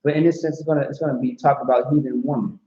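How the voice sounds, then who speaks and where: A man's voice: medium pitch, fairly even intonation, racing, warm tone. Emphatic man teaching in an echoey room. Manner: emphatic, repetitive, asks "see what I'm saying". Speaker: class instructor. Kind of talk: scripture class teaching. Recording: roomy, dull recording.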